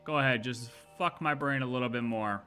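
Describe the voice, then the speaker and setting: A man's voice: mid-range voice, brisk, music in the background. A man streaming a game with casual live reaction commentary, informally thinking aloud.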